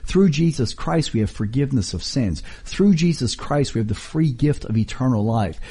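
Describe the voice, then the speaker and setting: A man's voice: normal speed, slightly rough. A middle-aged man preaching a radio sermon, emphatic and full of rhetorical questions; English is not his first language. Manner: emphatic, rhetorical questions, lively swings in pitch.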